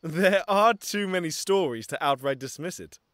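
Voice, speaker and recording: Man acting a lively, curious young seafarer. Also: on the high side, normal speed, no echo, clean studio sound. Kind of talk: fantasy audio drama acting.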